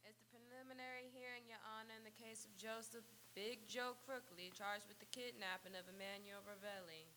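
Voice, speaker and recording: A woman's voice: mid-range voice, steady tone, brisk, few pauses, sharp tone, slight background noise, natural voice. A woman playing a dutiful, formal court clerk, proclaiming in a live radio comedy. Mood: official. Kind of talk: live old-time radio comedy acting.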